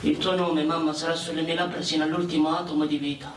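An actor playing a brave, devoted young soldier, solemnly declaiming a letter home in theatrical style. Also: male voice, fairly high pitch, level tone, quick pace, close to an everyday voice. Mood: solemn, exalted. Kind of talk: theatrical reading of a war letter. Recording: echoey, distant, clearly noisy.

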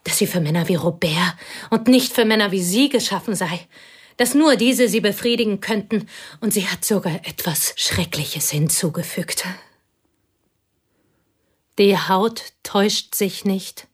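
A woman's voice: medium pitch, measured pace, husky. A bitter woman whispering confessions. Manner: hushed, intense, often whispering. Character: bitter lonely woman confiding old betrayals. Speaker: actress. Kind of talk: radio play acting.

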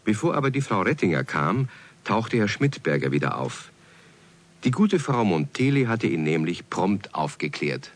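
Radio-play narrator: deep, melodic storyteller, calm and gently amused. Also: male voice, moderate pace. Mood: calm, wry. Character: calm, amused male storyteller of children's tales.

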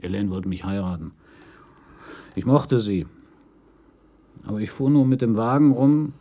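An actor playing an uneasy, confiding man under police questioning in a German radio crime drama, husky and hesitant. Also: lowish voice, unhurried, warm tone. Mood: brooding, confessional.

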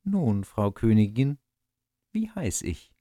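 A man voicing a greedy, spiteful little imp in a storybook reading, with a high, slow, taunting delivery. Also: big pitch swings, warm tone, no room sound, studio quality. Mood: gleeful. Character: spiteful tiny male imp, shrill and greedy. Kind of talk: audiobook reading of a fairy tale.

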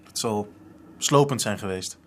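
An actor playing an eager, polite young student art sleuth in a radio drama, speaking earnestly with some hesitation. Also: male voice, fairly low pitch, measured pace, fairly bright tone, slight background noise. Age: young adult.

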